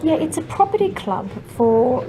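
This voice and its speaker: A woman's voice: sits high, big pitch swings, moderate pace. A woman guest in a casual interview, talking upbeat and keen about her property ventures. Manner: upbeat, enthusiastic. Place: members' club room. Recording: crowd chatter behind.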